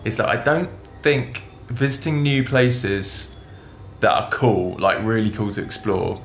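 A young man, talking excitedly to a handheld camera as a travel vlogger. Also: lowish voice, measured pace, slight background noise. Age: young adult. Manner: chatty, excitable, talks to camera. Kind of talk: casual vlog narration. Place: car, outdoors and hotel room. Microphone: camera held at arm's length.

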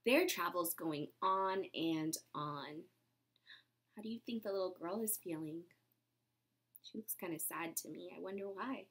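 A woman's voice: lowish voice, unhurried, breathy edge. A woman in her thirties reading a picture book aloud to children expressively, with dramatic emphasis and chatty asides. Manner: animated, dramatic emphasis, asking the young listeners questions. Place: small room indoors at home.

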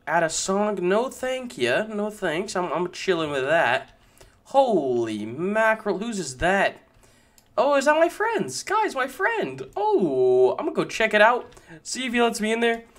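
A young man casually commenting on his own gameplay, chatty and excitable, talking to his viewers. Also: high voice. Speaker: gaming YouTuber.

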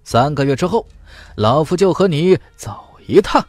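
Man voicing a domineering old cultivator, animated. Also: a little high, moderate pace, dry recording, studio quality, faint music behind, pitched above the performer's own voice. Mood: gloating. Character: menacing, suspicious, greedy old male cultivator.

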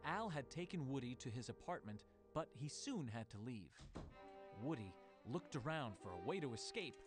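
A man's voice: medium pitch, big pitch swings, normal speed, music in the background. A man reading a scripted children's storybook as narrator.

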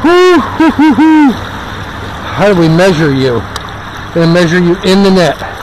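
A man talking casually to himself as he fishes, muttering encouragement and exclamations, with a gravelly voice. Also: sits high, expressive. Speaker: angler filming his own fishing. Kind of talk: casual self-talk while fishing. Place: outdoors on a wooded river. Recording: noisy outdoor recording with river noise. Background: rushing river water.

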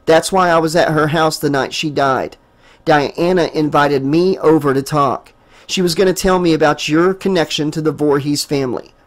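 A man reading an audiobook, voicing a desperate young man who talks low and insistently. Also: steady tone, normal speed, natural voice. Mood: earnest. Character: young man, desperate, trying to protect her.

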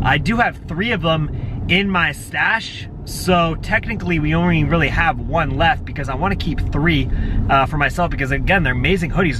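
Young male vlogger, casual reseller chat, noisy car-and-street ambience. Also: a little high. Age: young adult. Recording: clear outdoor and vehicle noise.

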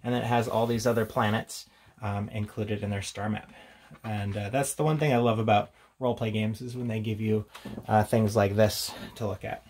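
A man's voice: on the low side, steady tone, moderate pace, darkish tone, a little hiss or hum. A man hosting a casual, unscripted tabletop game walkthrough, thinking aloud hesitantly as he goes. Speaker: host of a tabletop gaming channel.

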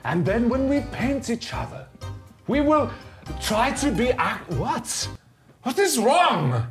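A man's voice: very high voice, sing-song, slow, fairly bright tone, soft background music, pitched above the performer's own voice, heavily acted. A man playing a pompous, theatrical French artist host, in a mock French accent with broken English. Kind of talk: comedic art show hosting.

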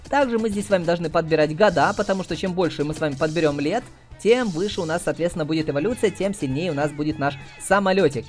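A man with a high voice gives casual, chatty commentary as he plays mobile games. He talks straight to his viewers as their host. Light music plays behind his voice. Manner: chatty, uses cute diminutives. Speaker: host of mobile game playthroughs.

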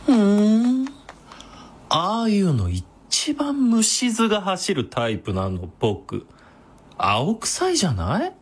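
Husky, campy, sadistic villain. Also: male voice, high voice, wildly swooping pitch, takes it slowly, heavily acted. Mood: disdainful. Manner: campy, mincing, feminine phrasing. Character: sadistic effeminate male knife-wielding villain, taunting and vain. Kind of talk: radio drama acting.

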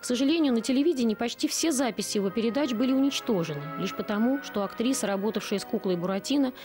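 A woman's voice: a little high, fast. A woman reading scripted narration for a documentary. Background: music.

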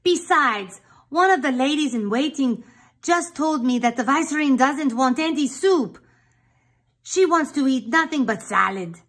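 A woman voicing a bossy male palace cook who speaks loudly and with exasperation. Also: high voice, lively intonation, unhurried, pitched above the performer's own voice. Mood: exasperated. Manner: loud, exasperated.

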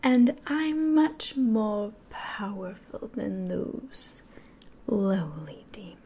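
A woman's voice: a little high, dark tone, husky, full character voice. A young woman playing a sly, grandiose demon ruler in an ASMR roleplay, mostly whispering theatrically close to the microphone. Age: 20s.

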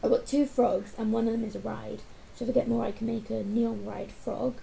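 A young woman with a hoarse, croaky voice, chatting casually while co-hosting a gameplay video. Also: on the high side, fairly even intonation, brisk, dark tone, a little hiss or hum, rough recording. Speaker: co-host of a gaming channel.

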